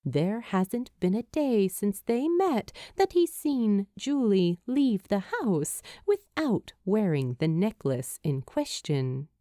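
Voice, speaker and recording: A woman's voice: medium pitch, very animated, slow, few pauses, dark tone, dry recording, clean studio sound. A woman reading a scripted story aloud as a fan fiction narrator.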